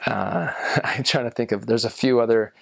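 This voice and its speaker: A young man and former missionary talking casually to the camera, rambling and halting with lots of ums. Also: medium pitch, vocal fry, no echo. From United States. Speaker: returned missionary.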